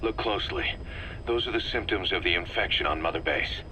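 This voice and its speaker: An actor playing a stern, suspicious military commander in a video game, giving briefings in an emphatic, clipped way. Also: male voice, low voice, brisk, background noise, close to an everyday voice. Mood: grave, accusing.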